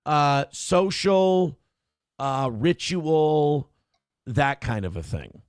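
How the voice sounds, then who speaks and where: Animated, swearing man in his thirties. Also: fairly high pitch, extremely slow, smooth, no room sound, studio quality. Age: 30s. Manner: animated, swearing, self-correcting. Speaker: co-host. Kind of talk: casual chat.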